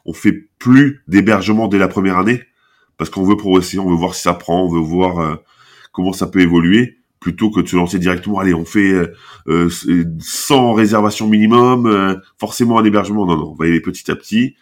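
A man's voice: deep voice, fairly quick. Talkative man with a stuffy cold. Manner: talkative, rambling with many restarts. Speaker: podcast guest, basketball camp founder. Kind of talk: casual interview talk.

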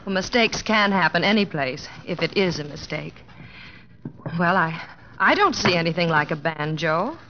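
A woman's voice: fairly low pitch, expressive, moderate pace. An actress playing a polite, prim and spirited young American woman in a 1950s radio drama. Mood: flustered, prim. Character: genteel, flustered but spirited young station helper. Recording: muffled vintage radio broadcast, music behind.

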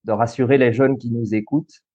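A man's voice: mid-range voice, steady tone, brisk, dark tone. Belgian guy, 30, chatty explainer, lots of euh, kinda dull lo-fi sound. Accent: Belgian French. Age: 30s. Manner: explanatory, many fillers and restarts, easy laughs. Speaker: guest, school coach and social worker. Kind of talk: podcast interview.

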